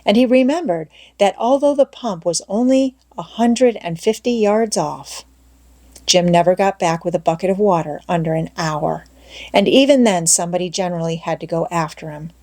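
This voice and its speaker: A woman narrating an audiobook, reading a scripted story aloud in a measured, expressive way. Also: fairly low pitch.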